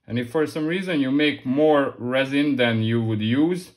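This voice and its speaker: Relaxed man explaining a craft. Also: medium pitch, unhurried. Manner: relaxed, loose phrasing with many restarts. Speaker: model-making tutorial host. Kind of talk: casual hands-on tutorial. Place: indoor hobby workspace.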